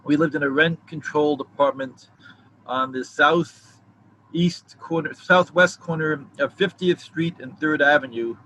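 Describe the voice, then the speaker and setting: A man in his sixties from New York City, speaking in a somewhat high voice. He rambles reflectively, full of fillers and restarts, as he answers questions about his writing. Faint background hiss runs under him. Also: measured pace, sharp tone, poor audio. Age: 60s. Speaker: novelist, guest. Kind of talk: casual video interview.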